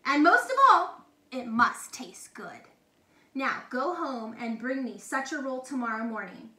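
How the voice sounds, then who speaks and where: Angry storybook duke, played by a woman: imperious, commanding, forceful and bright, in an echoey room. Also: sits high, big pitch swings, full character voice. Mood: grudging, demanding. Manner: roaring, commanding, drawn-out emphasis. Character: loud, imperious angry duke, adult man. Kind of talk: children's storybook read-aloud. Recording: echoey room with faint noise.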